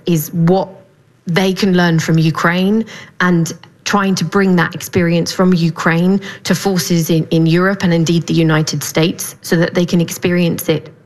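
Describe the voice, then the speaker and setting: Low-pitched woman, news correspondent. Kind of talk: spoken interview analysis, recounting reporting.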